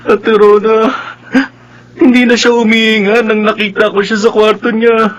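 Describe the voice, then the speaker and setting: A man's voice: high voice, little pitch movement, moderate pace, a bit bright, dull audio. A man acting a frightened young younger brother in a Filipino radio drama.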